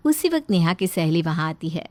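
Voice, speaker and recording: A woman's voice: on the low side, very animated, brisk, a little mellow, no room sound. Woman narrator, dry recording. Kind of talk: scripted story narration.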